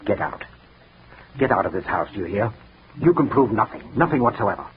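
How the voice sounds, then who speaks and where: An actor playing an irritable, haughty, defensive gentleman suspect in 1940s radio mystery style, pompous and indignant. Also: lowish voice, very animated, brisk. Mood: defiant, angry. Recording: noisy, tinny 1940s radio recording.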